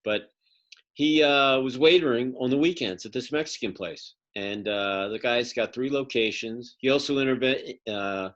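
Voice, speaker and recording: A man's voice: medium pitch, normal speed, a bit bright. A man hosting an interview, telling a rambling story with many fillers. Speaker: interview host. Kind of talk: casual interview chat. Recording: dull lo-fi audio.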